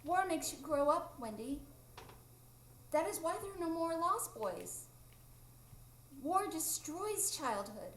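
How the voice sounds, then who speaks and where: Boy adventurer for stage radio drama, played by a woman, high, unhurried, earnest, roomy echo. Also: very animated, a bit bright, a little hiss or hum, full character voice. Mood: sorrowful. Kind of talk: live radio drama acting. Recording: echoey live theatre room.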